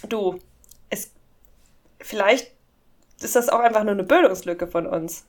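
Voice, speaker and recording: A German-speaking woman in casual podcast chat, amused and often laughing as she reads scam emails aloud. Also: medium pitch. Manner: amused, giggly, reads English slowly and mockingly. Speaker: podcast co-host.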